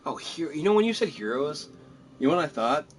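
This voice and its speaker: A man chatting casually as he streams a game, joking and rambling in an animated way. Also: on the high side, quick pace, a little hiss or hum. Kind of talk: casual gameplay commentary and chat.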